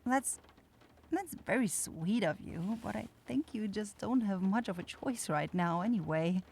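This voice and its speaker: A woman's voice: medium pitch, lively intonation, a little slow, creaky, darkish tone, slight background noise. A woman voicing a caring young forest witch in a fantasy ASMR roleplay, nervous, rambling and apologetic. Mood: shy, flustered.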